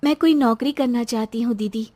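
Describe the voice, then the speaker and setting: A woman's voice: fairly high pitch, a little fast, mellow, close to an everyday voice. A woman voicing an earnest, determined young wife and mother in a story, polite and pleading.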